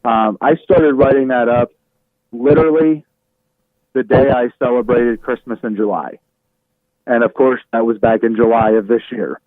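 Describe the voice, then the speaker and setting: A man's voice: medium pitch, fairly even intonation, normal speed, dry recording. Man on a thin phone-like line. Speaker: radio show host appearing as a podcast guest. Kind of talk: casual interview chat.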